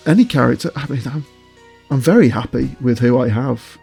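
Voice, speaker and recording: A man's voice: medium pitch, a little fast, gravelly, a little mellow, husky, soft background music. A man giving casual interview answers as a voice actor, choosing his words with hesitation and often chuckling.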